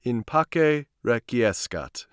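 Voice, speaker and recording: A man's voice: medium pitch, big pitch swings, slow pace, smooth, no room sound, clean studio sound. A man reading stories aloud on a live stream, with an informal manner. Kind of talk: live storytelling and casual stream chat.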